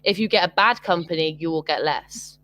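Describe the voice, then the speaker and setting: A woman's voice: fairly low pitch, a little fast, fairly bright tone. Hesitant young British woman on a call. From Britain. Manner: hesitant, many ums and restarts. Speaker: online English tutor. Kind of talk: casual video call chat. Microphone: video call.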